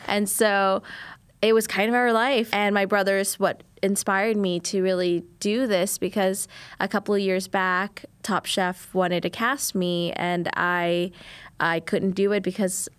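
A woman's voice: medium pitch, little pitch movement, unhurried, clean tone, no room sound. A young woman from New Orleans, a chef, answering interview questions candidly and laughing easily. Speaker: chef, cooking competition contestant.

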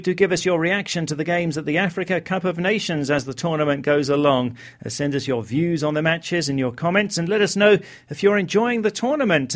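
A man presenting a radio football show, reading out contact details and introducing the next report. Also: fairly high pitch.

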